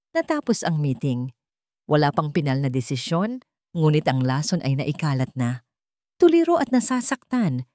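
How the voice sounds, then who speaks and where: A woman's voice: deep voice, darkish tone, no echo, clean studio sound. A woman with a Filipino accent reading a scripted romantic drama as a story narrator.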